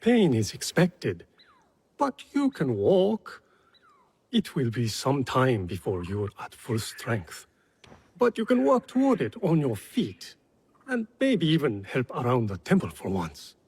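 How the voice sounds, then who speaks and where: An actor playing a kindly older male temple healer in a video game, husky, measured and gently wry. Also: medium pitch, wildly swooping pitch, heavily acted. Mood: wry encouragement. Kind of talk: video game cutscene acting.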